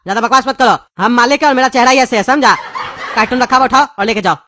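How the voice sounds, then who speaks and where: Man as a rapid-fire irritable cartoon dog dad. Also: very high voice, pushed and bright, slight background noise. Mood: irritated. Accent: Bihari Hindi. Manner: scolding, blustering. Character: irritable shopkeeper father turned into a talking dog.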